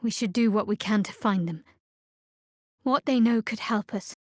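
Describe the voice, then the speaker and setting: A woman voicing a guarded, sardonic young cleric of a dark goddess in a fantasy video game, with a dry, wry, measured delivery. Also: mid-range voice, no room sound, lightly acted.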